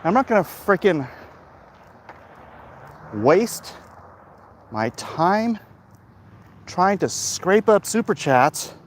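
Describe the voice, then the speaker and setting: A middle-aged man talking casually and haltingly to his viewers in a monologue recorded while out walking. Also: high voice, very animated, slight background noise. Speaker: comic book creator vlogging. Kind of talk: casual walk-and-talk monologue. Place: outdoors, walking along a hilly route.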